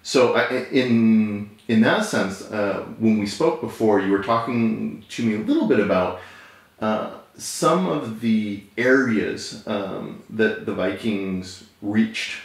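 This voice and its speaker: A male interviewer asking long, halting questions with many restarts. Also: on the low side, gravelly. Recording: very echoey room, off-mic.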